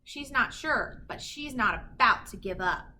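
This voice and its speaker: A woman reading a children's picture book aloud in an animated, emphatic storytelling manner. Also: fairly high pitch, unhurried, a little hiss or hum. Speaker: children's story reader, teacher.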